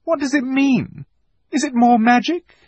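A frightened older girl in a storybook, as a male narrator puts her on. Her voice is high and nervous, faltering over words, with a husky grain beneath. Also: wildly swooping pitch, unhurried, warm tone, dry recording, exaggerated voice. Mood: bewildered. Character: older girl, anxious and shaky.

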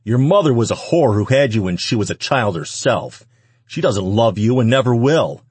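A man voicing a bullying, contemptuous husband who snarls and shouts in a story reading. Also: mid-range voice, animated, normal speed, breathy edge, no echo, voice pushed up. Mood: enraged, menacing.

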